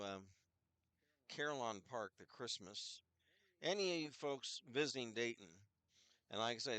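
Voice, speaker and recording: A man's voice: medium pitch, fairly bright tone, clean tone, dry recording. Older man announcing hockey with a head cold. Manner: rambling, chatty, self-deprecating asides. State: head cold with scratchy throat. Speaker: play-by-play announcer. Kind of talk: casual hockey broadcast commentary.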